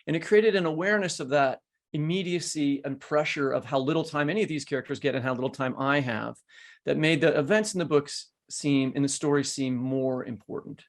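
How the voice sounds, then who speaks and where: A man's voice: fairly high pitch, a little fast. A man in his fifties hosting a conversational literary interview, rephrasing as he goes. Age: 50s. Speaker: podcast host interviewing an author.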